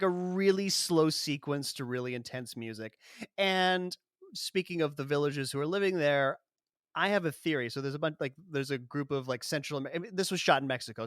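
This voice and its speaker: A man co-hosting a podcast, chatting casually about a film, animated and swearing, often acting out lines from the movie. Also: fairly high pitch, smooth, dry recording, studio quality. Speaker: podcast co-host. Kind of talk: casual film review chat.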